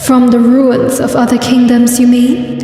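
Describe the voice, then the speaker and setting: A woman's voice: fairly high pitch, little pitch movement, a little slow, dark tone, recorded from a distance, lightly acted. A woman voicing a prim, grave elder-sister sea pony with a stern, formal, theatrical manner. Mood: stern, dismissive. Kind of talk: cartoon voice acting in a comic dub. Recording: very echoey, long reverb, music underneath.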